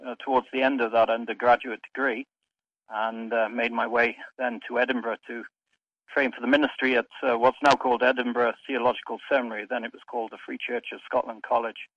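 A man from Wales, a pastor answering in a radio interview, hesitant with many pauses. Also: medium pitch, level tone, no echo, muffled recording. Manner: hesitant, frequent uhs, trailing off softly. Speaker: pastor and guest.

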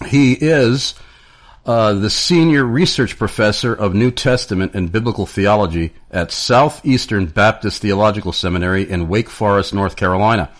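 Lower-voiced male radio talk host. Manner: plain, measured delivery with frequent "uh" fillers. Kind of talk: radio interview.

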